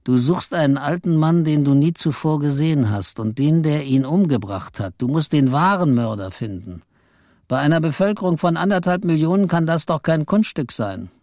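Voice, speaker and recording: An actor playing a weary, self-mocking office clerk who narrates a German radio play. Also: male voice, a little high, lively intonation, moderate pace, darkish tone, dry recording, clean studio sound. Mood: brooding.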